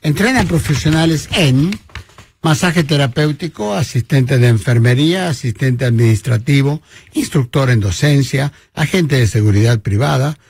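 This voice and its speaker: A man hosting a language community radio show, reading ads and introducing a guest in a friendly, halting way with many fillers. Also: medium pitch, creaky, darkish tone, slightly rough, no room sound. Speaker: radio host. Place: studio.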